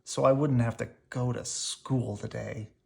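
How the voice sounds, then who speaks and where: A woman's voice: very deep voice, steady tone, a little slow, vocal fry, mellow, exaggerated voice. A woman voicing a confident, matter-of-fact young boy bear, a plain-spoken big brother in a storybook. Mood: grumbling.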